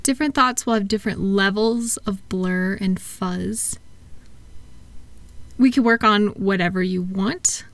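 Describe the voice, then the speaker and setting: A soft-spoken woman with a mid-pitched voice performs a soothing ASMR roleplay. She talks gently and without hurry, like a caring practitioner with a client. The sound is close and dry. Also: no echo. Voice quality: soft, gentle, breathy at times. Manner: soothing, reassuring, unhurried, asking gentle questions. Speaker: audio roleplay performer playing a thought-calming practitioner.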